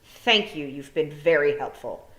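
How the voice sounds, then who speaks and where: Lady doing a earnest brave little girl from a storybook, higher pitched, echoey room. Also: expressive, measured pace, pushed and bright, heavily acted. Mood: urgent. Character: earnest, plucky young girl heroine. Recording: roomy echo.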